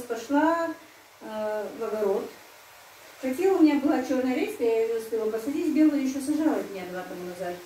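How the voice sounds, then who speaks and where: A middle-aged woman talks casually and at length to her viewers from home, in a homely, rambling manner that keeps turning to them as friends. Her voice sits a little high. The sound is roomy and picked up from some distance, with a faint hum of background noise. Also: warm tone. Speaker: home vlogger. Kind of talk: casual chatty monologue to viewers. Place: rural home.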